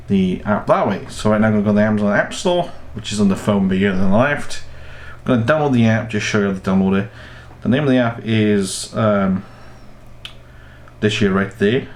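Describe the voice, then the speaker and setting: Young male tutorial host, low voice, rambling casual explanations, recorded at home with some background noise. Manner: rambling, repeats and restarts phrases. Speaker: host of a tech tutorial channel. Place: small room at home, indoors.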